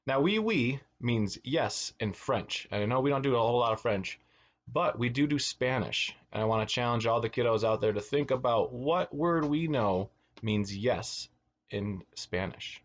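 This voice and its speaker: A man's voice: mid-range voice, moderate pace, muffled recording. A man reading a children's storybook aloud as a teacher, expressively, with asides to his young listeners. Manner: expressive, acts out words and exclamations.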